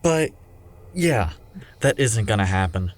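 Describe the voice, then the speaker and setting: A man's voice: on the low side, big pitch swings, takes it slowly. A man playing a casual, upbeat, loyal young sidekick in an audio drama. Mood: friendly. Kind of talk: radio drama acting.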